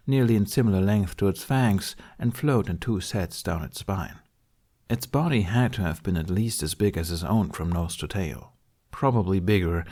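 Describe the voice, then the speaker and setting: Low-pitched male audiobook narrator. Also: darkish tone. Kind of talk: scripted fantasy story narration.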